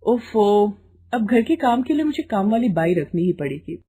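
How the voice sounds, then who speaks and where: A woman's voice: medium pitch, big pitch swings, normal speed, warm tone, husky. Woman voicing a haughty, scolding young daughter-in-law. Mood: irritated. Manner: taunting, complaining.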